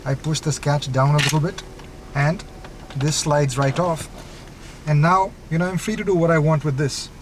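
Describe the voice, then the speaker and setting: A man with a slightly high voice gives a casual product demo from inside a car. He explains each step in an informal way, with clear vehicle noise behind him. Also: fairly even intonation, moderate pace. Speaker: product demonstrator. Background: vehicle ambience.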